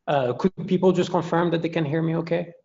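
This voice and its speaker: A man from Morocco who lectures in computer science, giving a relaxed, friendly webinar presentation with frequent pauses and fillers. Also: fairly high pitch, highs cut off.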